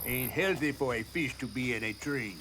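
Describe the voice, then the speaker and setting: A man playing a chatty, flattering fish in a video game, with a Southern US accent and flowery, wheedling speech. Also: medium pitch, normal speed, noisy background, natural voice. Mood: explaining. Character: folksy, chatty male talking fish, flattering and theatrical. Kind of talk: video game character acting. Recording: music behind the voice.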